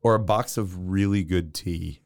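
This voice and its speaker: A man's voice: deep voice, a little slow, a little mellow, no echo, studio quality. A middle-aged man from Texas with a Texan accent, a chatty and enthusiastic podcast guest telling stories with frequent laughs. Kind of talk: casual chat.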